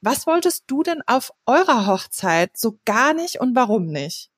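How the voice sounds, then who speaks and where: Lively chatty woman podcast host. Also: on the high side, a little slow, no room sound, clean studio sound. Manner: lively, chatty, laughs often. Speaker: podcast host, wedding planner. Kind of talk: podcast interview, casual chat. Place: home.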